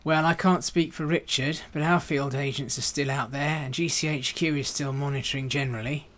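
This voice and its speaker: A man's voice: medium pitch, steady tone, fairly quick, fairly bright tone, lightly acted. A man acting an assured, assertive senior intelligence chief in a radio drama. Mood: reassuring.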